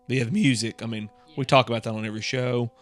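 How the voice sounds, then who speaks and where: Mid-pitched man in rambling casual chat. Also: fairly even intonation, fast, faint music behind. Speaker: podcast co-host.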